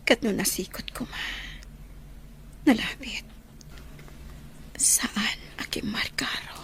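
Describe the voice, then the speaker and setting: A woman with an Ilocano accent playing a frail, despairing young wife in a radio drama, her voice weak and breathy. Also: fairly high pitch, slow, bright, forceful tone, whispered. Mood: weak, grateful, apologetic.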